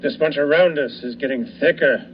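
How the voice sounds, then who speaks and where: A young man playing a calm, steady lighthouse chief in a radio drama, talking in short, clipped lines. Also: a little high, fairly quick, lightly acted. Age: young adult. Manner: clipped, calming. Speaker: student actor. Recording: muffled with faint hiss.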